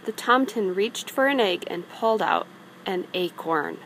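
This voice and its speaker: A woman's voice: medium pitch, expressive, measured pace, fairly bright tone, a little hiss or hum, rough recording. A woman reading a children's story aloud in a narrating style, as a storybook reader.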